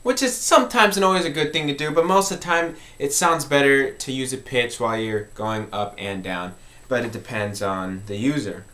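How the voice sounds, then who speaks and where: A man's voice: mid-range voice, normal speed, sharp tone. A man hosting an informal music tutorial, explaining step by step in a conversational way and repeating key points.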